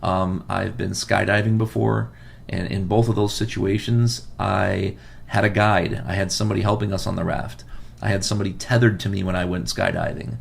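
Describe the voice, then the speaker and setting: Low, gravelly man losing his voice. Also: level tone, normal speed. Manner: earnest, repeats key points for emphasis. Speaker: financial advisor and podcast host. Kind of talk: podcast monologue giving financial advice.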